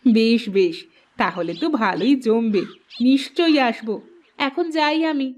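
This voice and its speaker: A woman's voice: a little high, animated, a little slow, a little mellow. A woman voicing a friendly, chatty village neighbour in a cartoon, with an easygoing manner. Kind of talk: cartoon voice acting.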